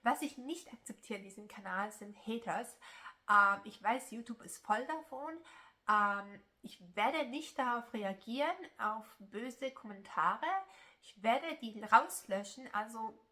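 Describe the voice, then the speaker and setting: A young woman with a Swiss German accent talks through her crochet vlog, her voice bright and clear. She chats on loosely, with plenty of hesitations and restarts. Her first language is Italian. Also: a little high, measured pace. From German-speaking Switzerland. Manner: chatty, rambling, many filler sounds and restarts. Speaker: crochet YouTuber. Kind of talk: casual vlog chat.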